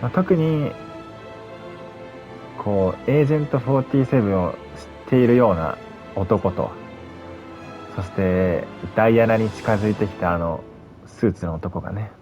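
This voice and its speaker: A man with a lowish voice talks quickly as a channel host, in casual commentary addressed to viewers. The sound is slightly muffled, with faint music underneath. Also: a little mellow.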